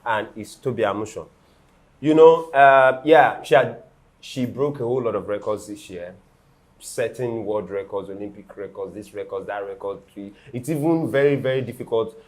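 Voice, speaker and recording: A Nigerian man with a Nigerian accent hosting a casual sports talk show, animated and teasing. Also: on the low side, normal speed, poor audio. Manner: animated, teasing, laughs often. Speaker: host. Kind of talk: casual studio sports chat.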